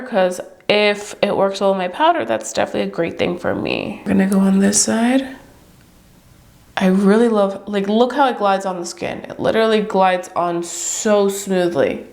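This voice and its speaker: A woman's voice: mid-range voice, animated, moderate pace, vocal fry, darkish tone. A young woman reviewing beauty products to camera in a chatty, upbeat way, her voice stuffy and congested from a cold. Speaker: beauty vlogger.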